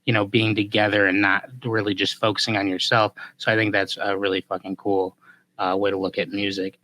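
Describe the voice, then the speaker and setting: A man's voice: lowish voice, little pitch movement, a little fast, sharp tone, rough recording. A man hosting a casual interview, talking informally with loose, hedging phrasing. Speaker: podcast host.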